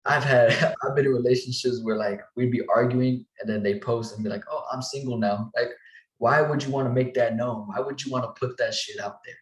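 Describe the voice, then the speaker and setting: A man's voice: mid-range voice, steady tone, brisk. A young man from southern Colorado hosting a podcast, laid-back and cursing casually in casual chat. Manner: laid-back, curses casually, short agreeing replies. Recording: echoey room.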